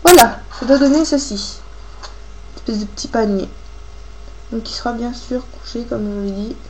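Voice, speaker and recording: Woman, craft tutorial host, higher voice, congested with a cold, informal and halting, noisy homemade recording. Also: warm tone. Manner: informal, halting, thinking aloud. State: has a cold, coughing. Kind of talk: casual hands-on tutorial, talking while working.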